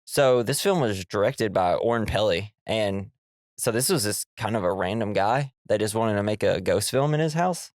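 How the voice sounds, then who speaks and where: A young man with a cold, his voice nasal and a little low, chatting loosely about a movie on a podcast. He rambles, laughs, and acts out jump scares. The sound is dry and close to the mic. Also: expressive, normal speed, dry recording. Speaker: podcast co-host. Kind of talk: casual movie podcast chat.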